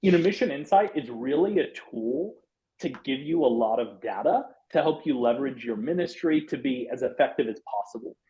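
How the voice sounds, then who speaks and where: A former pastor, a man, presenting a church software webinar in an affable way, with lots of "um" and "you know". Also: medium pitch, expressive, normal speed. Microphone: video call. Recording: dull lo-fi webinar audio.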